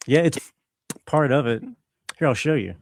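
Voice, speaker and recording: A man, a composer guest, answering casually in a relaxed, modest way with short replies. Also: mid-range voice, normal speed, creaky, slight background noise. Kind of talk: casual interview chat.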